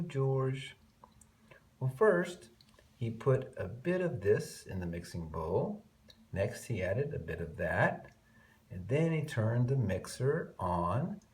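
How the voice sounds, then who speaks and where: A man reading a picture book aloud to young children in an expressive way, stretching key words and adding chatty, murmured asides. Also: on the low side.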